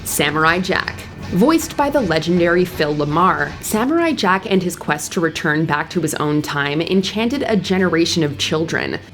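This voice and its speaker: Female countdown narrator, lower register, scripted delivery over light music bed. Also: sing-song, normal speed, hardly any pauses, a bit bright. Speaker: narrator and host of a countdown video. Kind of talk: scripted narration of a top ten list. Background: background music.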